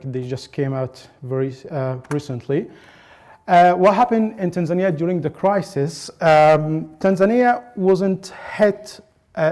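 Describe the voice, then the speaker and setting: A man from Egypt giving an academic conference presentation, speaking in a halting way with frequent pauses and restarts. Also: on the high side, mellow.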